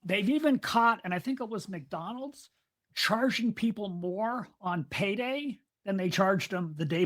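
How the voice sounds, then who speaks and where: A woman co-hosting a podcast in casual discussion, explaining ideas at length and drifting into side topics. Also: mid-range voice. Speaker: podcast co-host.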